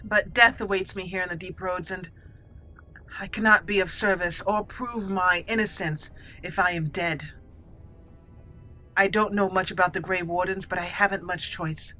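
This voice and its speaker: Woman acting out a proud exiled dwarf noble lady, formal, dramatic, bright voice, game roleplay. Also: lowish voice, steady tone, moderate pace, soft background music, rough recording. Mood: earnest, resolute.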